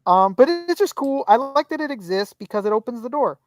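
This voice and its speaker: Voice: medium pitch, a little fast. A young adult podcast co-host talks in a laid-back, rambling way. Plenty of fillers and easy swearing run through the chat. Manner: relaxed, rambling, swears casually, many fillers. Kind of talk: casual chat about action figures.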